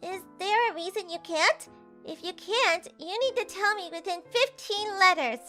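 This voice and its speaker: A woman's voice: very high voice, animated, moderate pace, sharp tone, soft background music, full character voice. A woman voicing a bold, pushy young girl in a romantic comedy manga dub. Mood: insistent.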